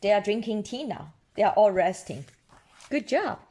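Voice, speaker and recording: A woman storyteller reading children's picture books aloud in an expressive, deliberate way, adding her own small asides. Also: medium pitch, breathy edge. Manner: expressive, deliberate delivery for toddlers. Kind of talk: children's read-aloud narration with ad-libbed asides.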